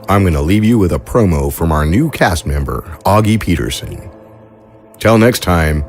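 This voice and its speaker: Male narrator, low voice, calm scripted sci-fi storytelling.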